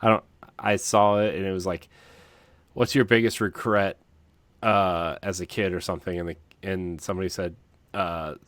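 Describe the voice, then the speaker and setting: Low-voiced man, halting casual podcast chat. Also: little pitch movement, fairly bright tone, no room sound. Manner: halting, hesitant, trails off. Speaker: podcast co-host.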